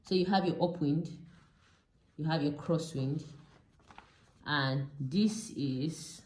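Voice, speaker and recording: A woman's voice: low-pitched, takes it slowly, darkish tone. A Nigerian woman with a Nigerian accent giving an informal, step-by-step lesson as an instructor, repeating key terms as she explains. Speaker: pilot and aviation instructor. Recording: echoey, reverberant room.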